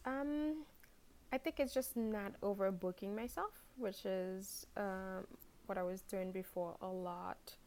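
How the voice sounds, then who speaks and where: A young woman from Haiti, a fashion blogger and podcast guest, talking in a relaxed, hesitant way with many fillers. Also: medium pitch, slow pace. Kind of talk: casual interview chat.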